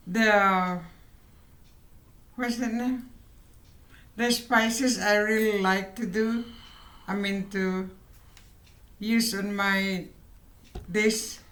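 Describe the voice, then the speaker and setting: Slow-spoken woman, echoey room. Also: medium pitch, steady tone, a bit bright. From Philippines. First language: Ilocano. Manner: hesitant, searching for words, giggly. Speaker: vlog co-host. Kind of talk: casual chat.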